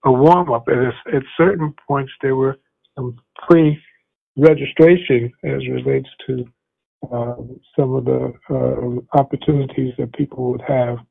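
A man hosting a casual radio interview, talking haltingly with many fillers and restarts. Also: medium pitch, fairly even intonation, creaky, breathy edge. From United States. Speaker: radio host. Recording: tinny phone-like radio audio.

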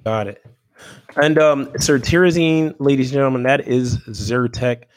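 A man explaining health topics in a measured, teaching way, often checking in with "right?" and filling pauses with "um.". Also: medium pitch, no room sound. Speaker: medical expert co-host. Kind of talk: explanatory health podcast talk.

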